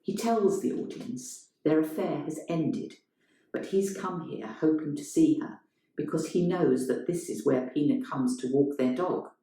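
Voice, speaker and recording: A strongly echoing room holds the voice of a woman narrating a short story. She reads in a measured, dramatic style, slowly and with a mellow, soft-edged tone. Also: low-pitched. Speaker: story reader. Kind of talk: scripted literary narration, performed reading. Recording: very echoey room.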